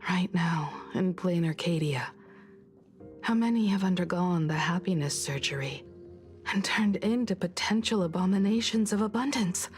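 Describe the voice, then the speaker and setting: A woman acts out a grave investigator in a game drama, with a lowish voice. She speaks solemnly and with measured weight, troubled and brooding, over background music. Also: natural voice. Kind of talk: dramatic video game voice acting.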